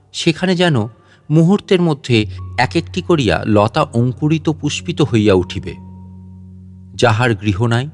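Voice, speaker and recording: A man narrating a classic literary story in a slow, solemn, reflective reading voice. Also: mid-range voice. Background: soft guitar music.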